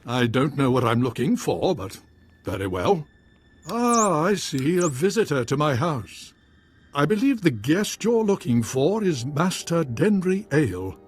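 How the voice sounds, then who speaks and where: Game Baron: husky, pompous, courtly, highly animated intonation. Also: male voice, full character voice. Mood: musing, courtly. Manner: grandiose, courtly, measured. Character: pompous, courtly Baron ruling a noble house. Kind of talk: scripted video game drama acting.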